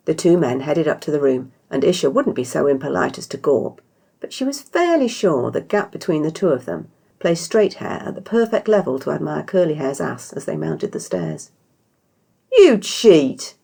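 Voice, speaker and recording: British woman narrating, low-pitched with slight creak. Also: expressive, moderate pace, mellow. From Britain. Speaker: author reading own story. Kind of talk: scripted story narration.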